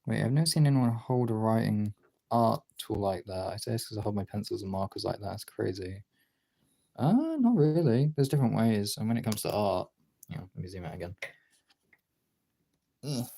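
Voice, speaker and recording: A mellow, soft-edged voice at a middling pitch belongs to an art streamer. The talk is casual and informal, peppered with swearing as they demonstrate drawing. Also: lively intonation, moderate pace, vocal fry, long pauses. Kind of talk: casual livestream chat while demonstrating drawing.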